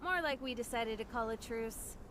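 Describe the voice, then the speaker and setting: Anime game fighter, female actress in role: teasing, wry, battle-worn, high-pitched, slight noise behind. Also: normal speed, fairly bright tone, lightly acted. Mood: flippant. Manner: flippant, wry. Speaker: video game voice actor. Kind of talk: anime-style game dub acting.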